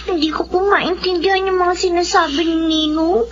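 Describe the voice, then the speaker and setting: A woman's voice: very high-pitched, fairly even intonation, normal speed. A woman playing a polite, obedient and timid young daughter in a horror radio drama. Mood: polite, earnest. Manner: polite, deferential. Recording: muffled radio drama, faint noise.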